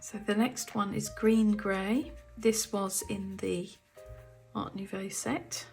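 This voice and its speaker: A woman from the UK with a croaky, gravelly throat talks slowly and softly, often dropping to a half-whisper as she thinks aloud. She speaks like a relaxed craft tutorial at home. A faint bed of guitar music plays underneath. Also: medium pitch. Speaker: artist demonstrating paints. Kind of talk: casual craft tutorial chat. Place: home art studio.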